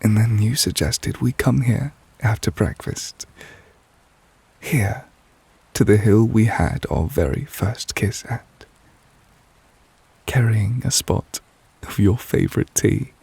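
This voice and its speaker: A man playing a devoted, tender but possessive lover in a romantic ASMR roleplay, speaking softly and intimately in a measured, wistful way, close to the microphone. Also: lowish voice, no echo, heavily acted. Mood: nervous, tender. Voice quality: soft, breathy, husky. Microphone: close, dry microphone.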